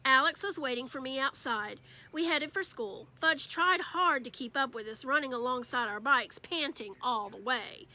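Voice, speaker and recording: A woman reading a children's book aloud as an expressive storyteller. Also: high voice, normal speed, hardly any pauses.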